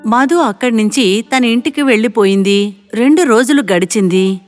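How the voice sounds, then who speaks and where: A woman's voice: on the high side, normal speed. Woman narrating a story over music.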